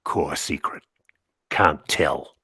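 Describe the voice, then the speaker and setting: A man's voice: rumbling low, slow, bright, forceful tone, husky, dry recording, studio quality, exaggerated voice. A man playing a guarded senior admiral in a dramatic reading, recounting secrets in clipped phrases. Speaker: co-host reading a part. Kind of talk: dramatic cold reading of a memo transcript.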